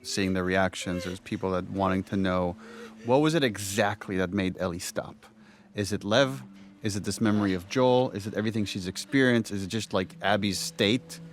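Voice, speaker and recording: A man speaking casually and reflectively as a game developer giving commentary on cutscenes, with guitar music behind him. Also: low voice.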